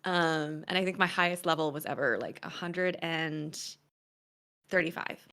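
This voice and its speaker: A woman chatting as a guest streamer, animated and quick to laugh. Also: deep voice, smooth. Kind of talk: casual chat.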